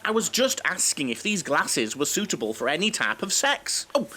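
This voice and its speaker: An actor playing a chatty, fussy, jokey coach passenger in a comedy audio play, with a flustered, prattling manner. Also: male voice, on the high side, big pitch swings, moderate pace, pushed and bright.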